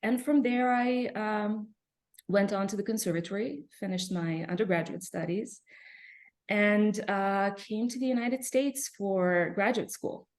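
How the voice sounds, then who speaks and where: A woman from Serbia, a pianist, answering interview questions reflectively with frequent soft fillers and pauses. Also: medium pitch. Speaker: pianist guest.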